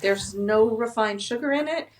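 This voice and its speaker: A woman's voice: a little high, normal speed. A woman chatting casually as a food expert guest in an interview.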